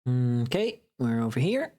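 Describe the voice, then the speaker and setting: Livestreamer, mid-range pitch, vocally tired, informal and rambling chat delivery. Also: very animated, measured pace, warm tone. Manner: rambling, informal, mildly sweary. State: tired voice from long recording.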